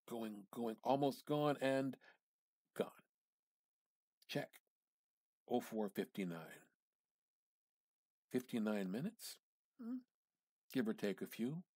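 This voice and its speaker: A man giving an amateur dramatic reading of literary fiction, theatrical and halting, with pauses and emphatic repetition. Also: mid-range voice, a little mellow, husky, no echo. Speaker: amateur reader.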